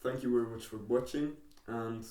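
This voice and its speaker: A young man from Switzerland with a Swiss accent, English not his first language, slowly explaining politics over diagrams with many pauses and ums. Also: mid-range voice, fairly even intonation, mellow, recorded from a distance. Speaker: educational YouTuber. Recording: echoey room, voice away from the mic.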